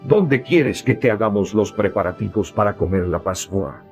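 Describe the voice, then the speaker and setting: A man voicing worried male disciples with a gravelly voice, speaking in an anxious tone. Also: lowish voice, animated, normal speed, faint music behind.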